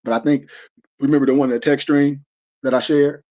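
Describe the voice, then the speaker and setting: A man's voice: mid-range voice, level tone, brisk. Man in his forties preaching on a lo-fi stream. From United States. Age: 40s. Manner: rambling and emphatic, many restarts and repeated fillers. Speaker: host of an online teaching stream. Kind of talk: casual preaching lecture.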